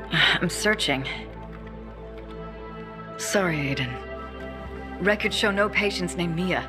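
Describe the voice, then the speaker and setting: A woman's voice: lowish voice, expressive, extremely slow, long pauses. An actress playing a determined, grieving woman survivor in a video game cutscene, with earnest, emotive, naturalistic delivery. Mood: calm, focused. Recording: music behind the voice.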